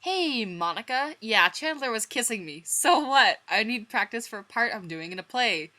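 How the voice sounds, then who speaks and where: A woman's voice: a little high, expressive, normal speed, pushed and bright, pitched above the performer's own voice. A woman voicing a brash, affectionate, easygoing man in a fan fiction reading, casual and emphatic. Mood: cheeky. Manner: casual, emphatic, dude-ish.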